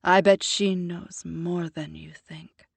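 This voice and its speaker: Woman voicing a dignified older Jamaican mom, formal and commanding, husky, slow storybook delivery. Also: fairly low pitch, fairly even intonation, dry recording, exaggerated voice. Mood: earnest. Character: proud composed older Jamaican mother. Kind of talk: audiobook character voice.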